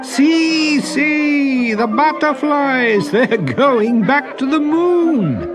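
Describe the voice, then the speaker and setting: An excitable onlooker from a storybook crowd acted by a man calls out in a high, jeering, merry voice. The exclamations are stretched out for effect. Music sounds behind the voice. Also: extremely slow, exaggerated voice. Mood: delighted, amazed.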